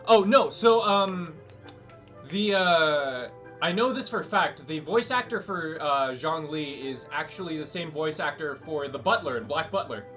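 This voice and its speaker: A man's voice: on the high side, lively intonation, unhurried, a bit bright, faint music behind. A man casually commentating on a game livestream, joking and talking back to the characters. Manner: jokey, talks back to the game. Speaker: game streamer.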